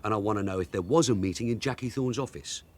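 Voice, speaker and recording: An actor playing a shrewd veteran detective sergeant, wry and blunt, in a naturalistic radio drama. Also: male voice, fairly low pitch, quick pace, darkish tone, lightly acted. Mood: firm, pressing.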